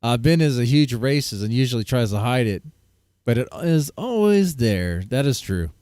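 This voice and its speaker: A man's voice: mid-range voice, very animated, normal speed, a little mellow, no room sound, clean studio sound. A man hosting a livestream, laid-back and chatty, greeting viewers in the chat. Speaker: livestream host.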